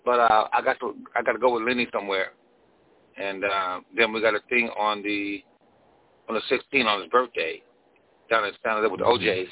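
A man's voice: lowish voice, fairly even intonation, a little fast, voice pushed down, natural voice. A man putting on a Mexican accent as a boastful caller in disguise who keeps saying "my friend.". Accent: put-on Mexican. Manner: repeats "my friend", playful bragging. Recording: thin phone line.

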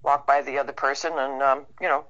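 A woman with a low, very bright and forceful voice chats as an interview guest. She is wry and anecdotal, feeling her way into each story, over dull lo-fi call audio. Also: little pitch movement, brisk. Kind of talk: casual conversational chat.

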